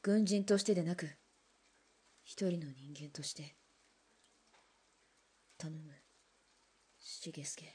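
A woman plays a hardened Russian woman soldier in a radio drama, low for a woman and dark in tone. Her voice is husky and her lines come out blunt and clipped. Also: takes it slowly, long pauses, exaggerated voice. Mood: subdued. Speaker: former Russian imperial soldier. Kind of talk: hobby radio drama acting.

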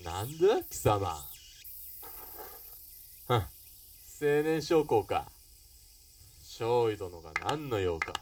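A man playing an arrogant, pushy policeman in a radio drama, smooth-talking and bullying by turns. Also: mid-range voice, big pitch swings, slow pace, long pauses, a little mellow, no echo, recorded from a distance. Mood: indignant, haughty. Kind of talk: Japanese radio drama acting.

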